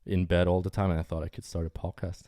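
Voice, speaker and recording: A man's voice: low-pitched, little pitch movement, fast talker, mellow, dry recording. A young man hosting a casual podcast interview, speaking eagerly and a little nervously. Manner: eager, nervous, laughs often. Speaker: podcast host.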